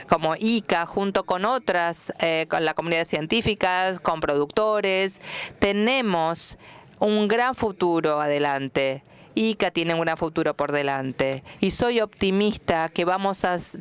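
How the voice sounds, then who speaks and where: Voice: medium pitch, a bit bright, smooth. A panelist giving an earnest closing statement at a conference panel.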